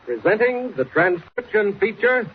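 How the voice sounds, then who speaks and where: A man's voice: high voice, sing-song, a little slow. Dramatic male announcer, noisy vintage radio. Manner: dramatic, declamatory. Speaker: announcer and narrator. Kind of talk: 1940s radio serial narration, scripted. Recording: muffled, noisy 1940s radio recording.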